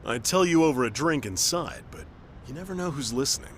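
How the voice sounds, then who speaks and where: A man playing a cocky, animated American hustler-soldier. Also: medium pitch, brisk, slight background noise, close to an everyday voice. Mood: conspiratorial. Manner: slangy, cajoling, animated. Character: cocky, wheedling male soldier pitching shady tips. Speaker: voice actor in a game cutscene. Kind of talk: game cutscene acting. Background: faint music.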